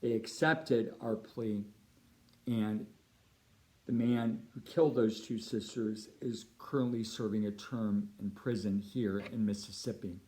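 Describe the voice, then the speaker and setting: A middle-aged man with a Californian American accent, a priest giving a prepared appeal talk in measured, deliberate phrases. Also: medium pitch, dark tone. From United States (Chicago and Southern California).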